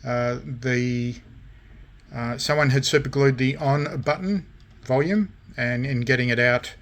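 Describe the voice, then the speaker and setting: Slow-paced man giving a casual show-and-tell. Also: mid-range voice, fairly bright tone. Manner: halting, pausing often between short phrases. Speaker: radio restoration hobbyist and vlogger.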